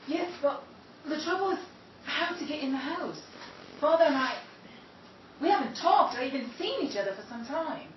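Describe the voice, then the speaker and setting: A woman playing a bitter, scheming estranged daughter in a theatrical, deliberate audio drama style. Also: high voice, expressive, muffled recording. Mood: anxious. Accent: English. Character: bitter, scheming estranged daughter, plotting against her blind father. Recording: echoey, noisy room, distant mic.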